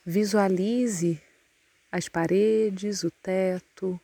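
Brazilian lady doing guided relaxation, really slow and soft-toned, calm, lots of pauses. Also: medium pitch, gravelly, dry recording. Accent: Brazilian Portuguese. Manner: calm, soothing, unhurried instructions with long pauses. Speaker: yoga nidra instructor. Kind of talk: guided meditation.

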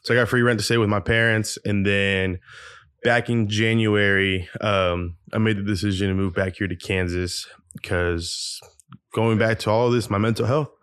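A man's voice: on the low side, fairly even intonation, normal speed, fairly bright tone, clear, resonant tone, no room sound, clean studio sound. A man in his twenties from Peru, a podcast guest talking openly about his life at home, reflective and full of ums and restarts. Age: 20s. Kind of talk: casual interview storytelling.

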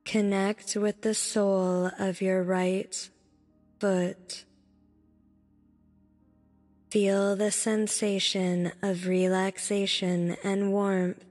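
A woman narrating a guided meditation in a soothing, deliberate manner, speaking in short instructive phrases. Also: mid-range voice, level tone, a little mellow. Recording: long reverb with background music. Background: music.

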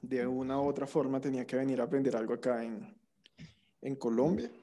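A young Venezuelan man with a Venezuelan accent, chatting casually about life abroad in a relaxed, slangy way. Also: mid-range voice, a little fast. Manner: relaxed storytelling with slang and restarts. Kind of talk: casual chat.

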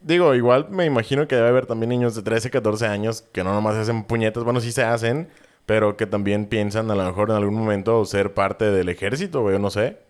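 A man's voice: fairly low pitch, quick pace. A young man with a Mexican accent, co-hosting a podcast with jokey, slangy talk. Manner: joking, slangy, laughs often. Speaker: podcast co-host. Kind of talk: casual chat.

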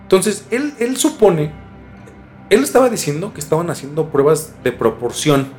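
Higher-pitched Mexican man, casual storyteller. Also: big pitch swings, darkish tone, faint music behind. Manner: informal, halting, mildly profane. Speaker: podcast host.

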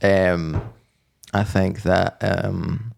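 Low gravelly-voiced man, joking around. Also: little pitch movement, extremely slow, a little mellow. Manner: dry, jokey, crude humor. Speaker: podcast co-host. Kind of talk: casual chat.

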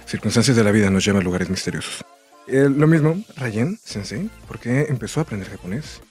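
A man's voice: on the low side, animated, brisk, a little mellow, faint music behind. A man co-hosting a casual chat, putting questions to the group and encouraging their answers. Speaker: co-host.

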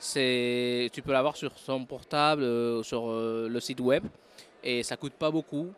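A man's voice: mid-range voice, steady tone, measured pace. A man from Spain with a Spanish accent, a non-native speaker giving a casual interview, hesitant and full of fillers while he searches for words. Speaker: interview guest, recruiter.

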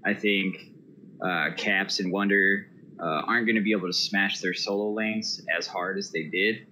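A man's voice: fairly low pitch, steady tone, moderate pace, pushed and bright. A man with an American accent calling in to a show to give his opinion casually. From Iowa, United States. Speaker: caller to an esports show. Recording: noisy voice-chat call.

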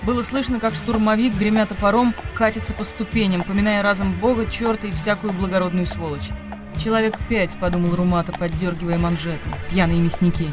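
A male radio play narrator with a high voice. He tells the story in a dramatic literary manner, with music clearly playing underneath. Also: fairly even intonation, brisk.

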